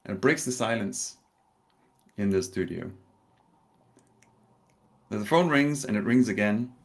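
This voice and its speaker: A man from Denmark speaks in a slightly low voice, giving a live talk over a video call. He tells a personal story carefully, with pauses and a hint of nerves. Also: animated. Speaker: conference speaker. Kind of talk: live online conference talk.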